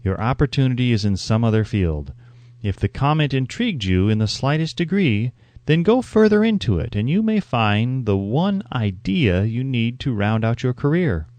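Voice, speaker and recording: A man's voice: mid-range voice, big pitch swings, normal speed, a little mellow, no room sound. A man reading a scripted audiobook as narrator, with an emphatic, instructive delivery.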